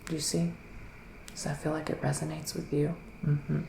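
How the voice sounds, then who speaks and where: A man performing a soft-spoken, often whispered ASMR roleplay as a psychic witch, musing in a hesitant, drawn-out, mystical way. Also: fairly high pitch, monotone, gravelly, roomy sound, background noise. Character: psychic male witch giving a mystical reading.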